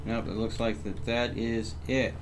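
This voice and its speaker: A man's voice: medium pitch, level tone, measured pace, soft background music. A man streaming a video game, giving casual commentary as he talks to himself and chats to viewers.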